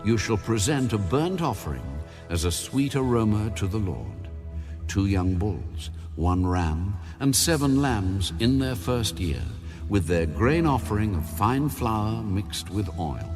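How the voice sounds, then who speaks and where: Low male voice reading bible verses, solemn and deliberate, really expressive rise and fall, music underneath. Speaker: Bible narrator. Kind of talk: dramatic scripture reading, audiobook narration. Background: background music.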